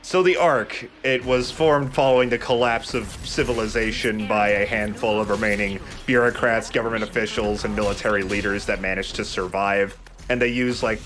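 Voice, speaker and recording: A man's voice: lowish voice, noisy background. A man co-hosting a let's play with casual, informal commentary, explaining things and joking along. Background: game music.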